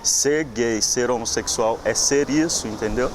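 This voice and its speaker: Casual young guy, thinking aloud, pausing a lot, with a faint hum of noise behind him. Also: medium pitch, poor audio. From southern Minas Gerais, Brazil. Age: young adult. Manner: hesitant, halting with many fillers. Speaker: journalism student interviewee. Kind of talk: reflective personal testimony.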